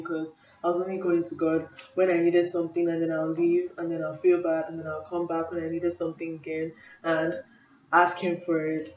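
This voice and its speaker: Young Nigerian woman, roomy distant mic. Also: lowish voice. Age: young adult. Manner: casual, earnest, frequent "like" and "um". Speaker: vlogger. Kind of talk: personal testimony vlog. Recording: roomy, distant, slightly dull sound.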